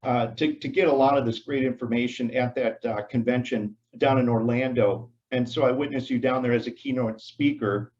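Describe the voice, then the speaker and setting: A male interview host asking questions in a friendly, halting way with many fillers. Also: mid-range voice, fairly even intonation, darkish tone, dull audio.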